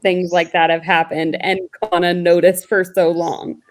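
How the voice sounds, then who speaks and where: A woman's voice: on the low side, flat delivery, measured pace. A woman co-hosting a book club chat, earnest with hesitant starts. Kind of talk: casual chat.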